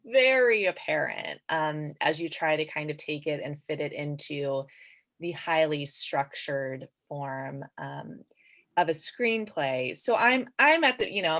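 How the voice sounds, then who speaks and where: A woman's voice: low-pitched, fairly bright tone. A woman answering casually as an author on a panel, conversational and emphatic in the way she talks.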